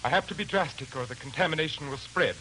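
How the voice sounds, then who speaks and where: An actor playing a furtive, feverish lodger with dark obsessions in a theatrical, halting 1940s radio thriller style. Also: male voice, on the high side, a little hiss or hum, poor audio. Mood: agitated, evasive. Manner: theatrical, brooding, halting delivery. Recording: old 1940s radio broadcast, music behind.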